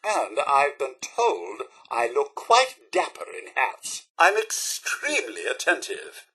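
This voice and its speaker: Video game robot butler, British accent, prim and polite, dry wit, swooping intonation, raspy edge. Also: male voice, mid-range voice, wildly swooping pitch, slow pace, bright, forceful tone, full character voice. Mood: eager, proud. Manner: prim, formal, theatrical, sardonic. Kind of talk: video game companion voice acting.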